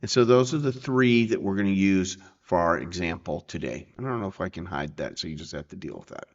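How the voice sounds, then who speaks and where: A man presenting a casual software tutorial, explaining informally and checking in as he goes. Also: low-pitched, expressive, fairly quick, muffled recording.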